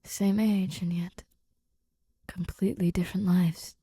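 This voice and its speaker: A woman's voice: lowish voice, flat delivery, a little slow, dark tone, slightly rough, dry recording, studio quality. A woman narrating a written story expressively, as an audiobook-style reader.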